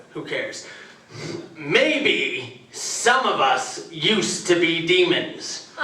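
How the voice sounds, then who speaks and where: Bright, slow-spoken man reciting wry verse. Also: on the high side. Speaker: open mic poet. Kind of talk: poetry reading. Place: public library room. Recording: distant mic, echoey room.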